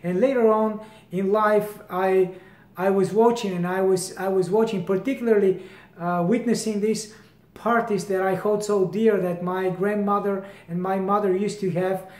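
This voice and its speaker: A man's voice: high-pitched, steady tone, moderate pace. A Bulgarian-accented man in his fifties, a brand founder speaking straight to camera at home in an earnest way, often restarting his phrases. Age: 50s. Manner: earnest, repeats and restarts phrases, gestures broadly with both hands. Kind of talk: direct-to-camera promotional talk. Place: home hallway indoors. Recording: echoey room.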